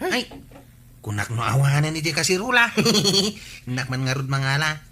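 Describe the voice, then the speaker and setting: A man's voice: medium pitch, big pitch swings, normal speed, background noise, lightly acted. A man with an Ilocano accent playing a cheeky village buddy in a comedy radio drama. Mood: defensive.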